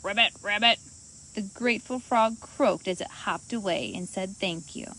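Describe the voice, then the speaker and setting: A woman reading a children's storybook aloud as host, in an expressive, animated manner for young listeners. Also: medium pitch, normal speed.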